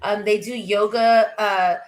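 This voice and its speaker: A woman's voice: medium pitch, fairly even intonation, unhurried. A woman singer chatting as a guest, telling stories with lots of laughter. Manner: laughing, bubbly storyteller. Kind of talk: casual interview chat.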